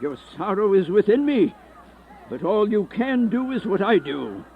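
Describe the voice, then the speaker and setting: Old bitter vampire, radio horror play, slow melodramatic delivery, brooding, dull lo-fi sound. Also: male voice, high voice, sing-song, a little hiss or hum, exaggerated voice. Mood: grim, resigned. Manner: grandiose, brooding, melodramatic monologue. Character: aged, sickly first vampire, bitter and remorseful.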